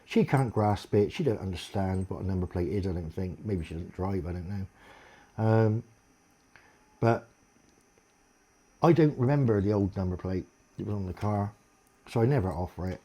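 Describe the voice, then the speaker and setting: Deep-voiced man ranting, husky and dark. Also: moderate pace, long pauses. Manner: aggrieved ranting complaint, blunt and sweary. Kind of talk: casual monologue to camera.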